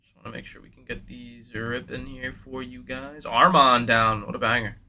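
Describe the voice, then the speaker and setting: A man's voice: mid-range voice, flat delivery, normal speed, pushed and bright, slight background noise. A young man hosting a live sports card break, chatting casually and hyped with slang, speaking straight to his viewers in a slightly nasal voice.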